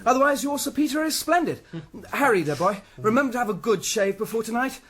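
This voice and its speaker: An actor playing a fussy, genial British officer who directs amateur theatricals in a radio drama, brisk and theatrical in manner. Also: male voice, extremely high pitch, lively intonation, fairly bright tone. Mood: encouraging. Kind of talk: BBC radio historical drama acting.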